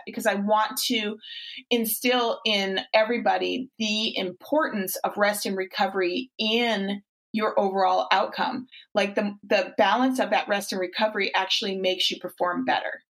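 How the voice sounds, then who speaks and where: A woman's voice: medium pitch, steady tone, moderate pace, fairly bright tone, rough recording. A woman in her forties hosting a podcast as a fitness coach, talking in a casual, encouraging way with frequent restarts. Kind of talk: casual interview chat.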